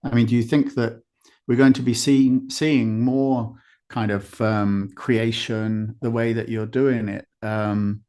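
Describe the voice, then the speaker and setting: A man's voice: mid-range voice, level tone, slow pace, darkish tone. Man hosting a conversational interview. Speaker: podcast host.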